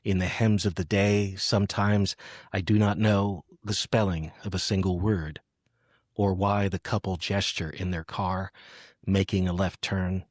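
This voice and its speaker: A man hosting a poetry podcast, talking and reading in a reflective, halting conversational way. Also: low-pitched, flat delivery, no echo, clean studio sound. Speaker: podcast host. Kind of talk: conversational poetry interview with read-aloud poems.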